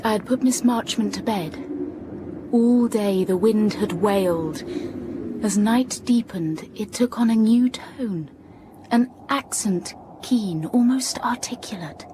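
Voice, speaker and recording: An actress playing a reserved, melancholy young gentlewoman, narrating her life in a measured way in a literary audio drama. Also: medium pitch, noisy background, lightly acted. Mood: restrained, resigned. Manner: measured, sombre. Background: music.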